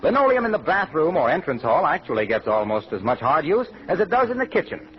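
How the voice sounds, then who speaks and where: A man's voice: a little high, sing-song, fast talker, soft background music. An American man reading a 1940s radio advertisement as the announcer, in a polished, folksy selling manner. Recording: muffled old radio broadcast.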